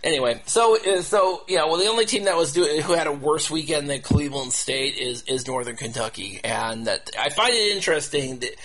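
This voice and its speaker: A man's voice: on the high side, lively intonation, fairly bright tone, a little hiss or hum, rough recording. A man hosting a casual sports talk show, repeating himself and restarting phrases as he goes. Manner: halting, repetitive, restarts phrases over and over. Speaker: podcast host.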